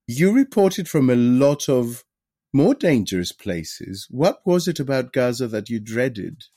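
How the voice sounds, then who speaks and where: A man's voice: mid-range voice, big pitch swings, unhurried, warm tone, no room sound. A man hosting an interview, asking questions with a dry, wry humor. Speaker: podcast host.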